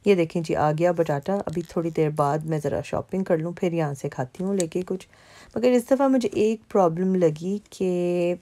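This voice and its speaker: Woman from Pakistan, vlogger, chatty voice-over, low mellow voice. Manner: chatty, conversational, frequent fillers. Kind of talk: casual narration over mall footage.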